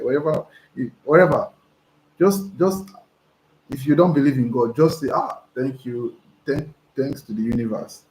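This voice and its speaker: A young Nigerian man with a slightly hoarse voice, coaching viewers live and reading their messages aloud, excitable and prone to restarts. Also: medium pitch. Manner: excitable, repeats himself, stumbling restarts. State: hoarse from talking. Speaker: online business coach. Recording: roomy echo, faint background noise.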